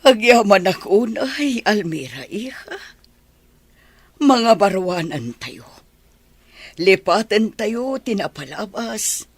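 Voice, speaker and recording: A man's voice: high voice, big pitch swings, slow, long pauses, slightly rough, dry recording, heavily acted. A man playing an apologetic elder in an Ilocano radio family drama. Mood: contrite, tender.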